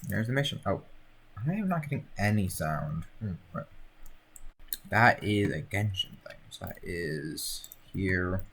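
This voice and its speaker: A man's voice: low voice, animated, unhurried, gravelly, fairly bright tone, slight background noise. A man playing a video game and chatting casually, with rambling, mumbled asides. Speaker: game streamer. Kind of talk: casual let's-play commentary.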